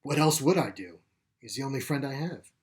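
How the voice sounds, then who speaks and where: A man's voice: medium pitch, expressive, brisk, a little mellow, natural voice. Man reading as a guarded teenage boy. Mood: earnest. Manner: wary, terse, matter-of-fact. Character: guarded, world-weary fifteen-year-old boy.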